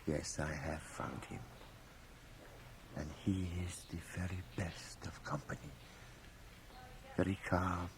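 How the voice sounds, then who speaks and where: A creaky, mischievous ancient priest. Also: male voice, low voice, exaggerated voice. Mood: hushed, serene. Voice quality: creaky, gravelly aged voice. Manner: sly, chuckling, hushed asides, dramatic pauses. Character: very old, mischievous, learned Jesuit priest, over a hundred. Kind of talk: radio drama acting. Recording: faint hiss, home cassette radio transfer.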